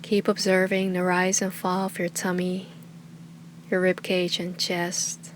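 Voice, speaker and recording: A woman teaching meditation with a calm, soothing, unhurried delivery that often trails into breathy softness. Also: on the low side, a little hiss or hum. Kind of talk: guided meditation, soft slow instruction.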